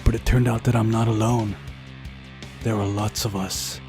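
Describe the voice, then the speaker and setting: A man's voice: on the low side, level tone, normal speed, music in the background, natural voice. Man acting a mocking, unhinged young pioneer. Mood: intense, unhinged.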